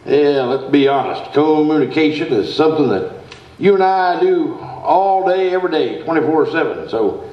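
A man's voice: a little high, sing-song, moderate pace. A man playing an earnest, self-important small-town speech teacher in a comic radio sketch on stage. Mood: lecturing. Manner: folksy, pompous, instructing. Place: theatre stage, indoors. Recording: dull, roomy, distant stage sound.

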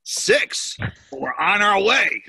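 Man playing a gravelly, forceful campaign adviser. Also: sits high, sing-song, extremely slow, no echo, studio quality, exaggerated voice. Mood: brisk. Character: brisk, slick campaign adviser to a tycoon. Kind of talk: amateur cartoon table read. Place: indoors on a home video call.

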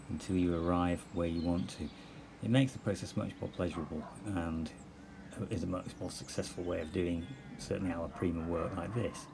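Low-voiced man painting outdoors with birdsong. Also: background noise. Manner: relaxed, explanatory, with many fillers. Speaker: painting instructor. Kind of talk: plein air painting demonstration. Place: outdoors in a sunny churchyard. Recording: outdoor recording with clear birdsong. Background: birdsong and outdoor ambience.

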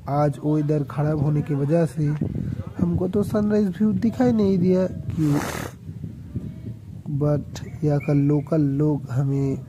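A man's voice: on the high side, takes it slowly, muffled recording, background noise. A man, a travel vlogger, chatting casually to viewers while walking outdoors, rambling and correcting himself as he goes. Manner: rambling, self-correcting, addresses viewers directly. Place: outdoor hill town square, busy at night. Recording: music playing loudly in background.

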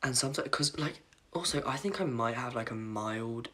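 A young man with a croaky voice chatting casually on a phone livestream from his bedroom at night, reading comments aloud and apologizing often. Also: medium pitch, moderate pace, bright, forceful tone. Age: young adult. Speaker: livestream host. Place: dim bedroom at night, indoors. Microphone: phone camera at arm's length.

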